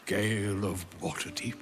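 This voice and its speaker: A man's voice: lowish voice, steady tone, a little slow, faint music behind, natural voice. A man playing a wise, grandfatherly old wizard in a fantasy game, with theatrical, pompous delivery and ornate phrasing. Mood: solemn. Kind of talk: fantasy video game acting.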